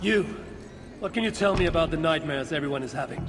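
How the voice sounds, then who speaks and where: A woman's voice: low voice, expressive, fast, over loud music. An actress playing a resolute, wry warrior woman in a video game, speaking tersely and steadily. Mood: dry, questioning. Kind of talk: dramatic video game cutscene acting.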